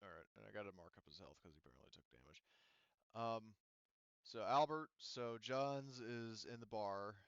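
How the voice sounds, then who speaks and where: A man acts as game master for a tabletop game in a fairly low, clean voice. He narrates and explains rules casually, often pausing to think aloud. The recording is dry and slightly dull. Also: a bit bright, clear, resonant tone, no echo.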